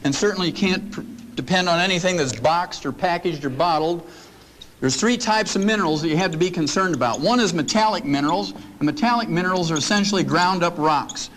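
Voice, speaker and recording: A man's voice: sits high, a bit bright. An older man giving a folksy, joking seminar talk to an audience in a meeting room. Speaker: lecturer.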